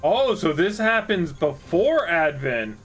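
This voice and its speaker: A young man talks casually over a video game in a low-key, slightly monotone way. His voice sits a touch high for a man, with faint game music underneath. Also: slow. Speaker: gamer. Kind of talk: casual let's-play chat.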